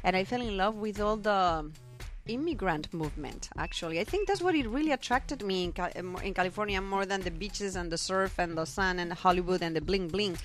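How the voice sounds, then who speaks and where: A woman from Spain with a Spanish accent, a guest journalist chatting casually, lively and quick to laugh, often repeating words. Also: mid-range voice, soft background music. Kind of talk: casual chat.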